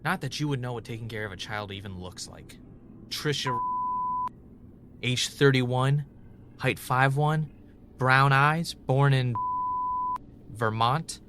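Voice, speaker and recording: An actor playing a haunted, paranoid, defiant man in an audio drama, speaking intensely in short, halting phrases. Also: mid-range voice, very noisy, lightly acted. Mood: accusing.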